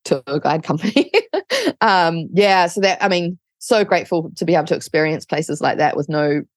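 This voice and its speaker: A woman's voice: sits low, normal speed, dry recording, clean studio sound. A woman with a New Zealand accent, chatting casually and informally as an interview guest. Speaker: interview guest, online business coach.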